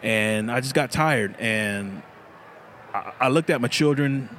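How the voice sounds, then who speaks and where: A man's voice: mid-range voice, slow pace, fairly bright tone, slight background noise. A man who makes knives, talking casually as an interview guest and laughing often. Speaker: knife maker guest.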